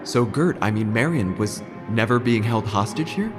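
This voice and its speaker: A man plays a wordy small-town obituary writer telling a comic mystery. His lowish voice is deadpan and precise, with a theatrical touch. Faint music runs beneath the scripted narration. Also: normal speed, close to an everyday voice. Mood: deadpan, suspenseful. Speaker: narrator and lead character.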